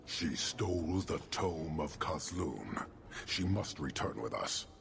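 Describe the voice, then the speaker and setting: An actor playing a stern, proud horned warrior leader in a video game, speaking formally and slowly with a commanding, gravelly manner. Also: male voice, extremely low pitch, big pitch swings, noisy background, heavily acted. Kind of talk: video game cutscene acting.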